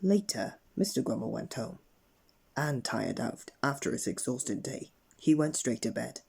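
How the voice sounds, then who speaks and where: A man narrating a children's bedtime story in an expressive read-aloud manner. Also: medium pitch, a little slow, creaky, breathy edge. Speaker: storybook reader.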